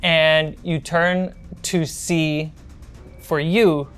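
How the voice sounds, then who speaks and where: Woman, low voice, slow delivery, tabletop game master, playful narration, music behind. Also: fairly even intonation, clear, resonant tone. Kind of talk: running a tabletop role-playing game, narration mixed with casual table talk.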